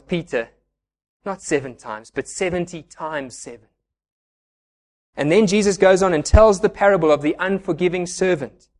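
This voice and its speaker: Male pastor, high voice, earnest explanatory sermon delivery. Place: church.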